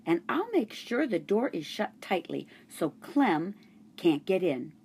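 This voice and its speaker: A woman reading aloud and voicing a kind young schoolgirl who owns a class pet, calling out high and urgent. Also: very animated, measured pace, darkish tone, slightly rough, exaggerated voice. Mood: reassuring. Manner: high, urgent calls to the family.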